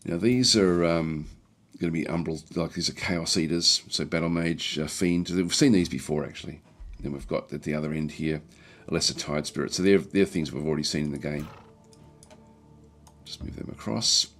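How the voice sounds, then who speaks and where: A man with a croaky, recovering voice giving casual, halting livestream commentary as he plays a strategy game and chats with viewers. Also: a bass voice, a bit bright. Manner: halting, thinking aloud. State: croaky throat, recovering from feeling unwell. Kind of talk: casual game commentary, reading and answering chat.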